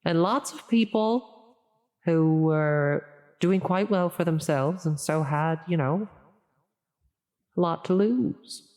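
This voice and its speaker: A woman's voice: lowish voice, a little mellow. A woman playing a flustered, well-meaning female demon tempter in an ASMR audio roleplay, hesitant and stammering as she coaxes. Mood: sheepish, musing. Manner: hesitant, halting, stammering, coaxing. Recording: long reverberant echo on the voice.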